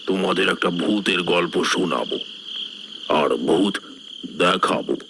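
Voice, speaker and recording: Deep, slow actor as old tea seller. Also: male voice, gravelly, noisy background, exaggerated voice. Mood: wistful, measured. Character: old village tea seller recounting his hard orphaned youth. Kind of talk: scripted story narration for an animated horror tale. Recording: music bed behind the voice.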